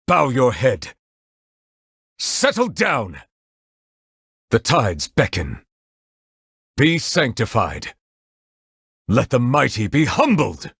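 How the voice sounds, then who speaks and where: Deep, solemn, refined judge. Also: male voice, husky, dry recording, studio quality, full character voice. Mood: commanding. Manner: formal, measured, eloquent, reserved. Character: solemn, refined male judge, courteous otherworldly being. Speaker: voice actor in a video game. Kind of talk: scripted game character voice lines.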